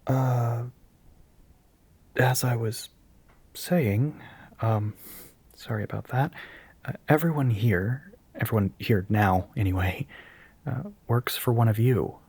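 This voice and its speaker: Breathy, earnest young soft-boy spokesman played by a man. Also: mid-range voice, dry recording. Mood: earnest, resolute. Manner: hesitant, halting, politely firm. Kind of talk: audio roleplay monologue, addressing the listener.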